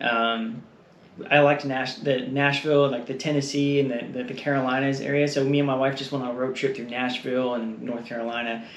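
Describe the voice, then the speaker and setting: A man's voice: medium pitch, flat delivery, fairly quick. A man from Texas, a guest in a casual interview, telling stories in a rambling way with many fillers and frequent laughs. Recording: echoey room with faint noise.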